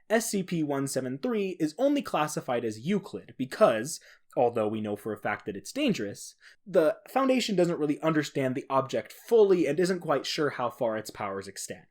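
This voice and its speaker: A man's voice: on the high side, darkish tone. A man hosting a podcast alone, explaining things in a casual, informal, conversational way. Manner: informal, conversational, with occasional swearing. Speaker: podcast host. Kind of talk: casual solo explainer on horror fiction.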